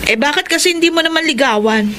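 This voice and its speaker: A woman's voice: high-pitched, lively intonation, fast, fairly bright tone, a little hiss or hum. A woman acting a bitter, catty, jealous young woman with a Filipino accent in a radio drama. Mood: teasing.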